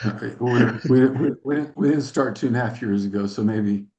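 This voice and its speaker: A man's voice: mid-range voice, fairly quick, a little mellow, highs cut off. A man hosting a video meeting, calling on people in turn and stammering with repeated words and restarts. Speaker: meeting host. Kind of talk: hosting round of introductions.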